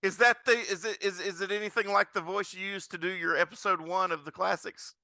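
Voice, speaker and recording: A man's voice: high voice, steady tone, brisk, pushed and bright, no echo. A man hosting a podcast, chatting and asking questions in a casual interview style.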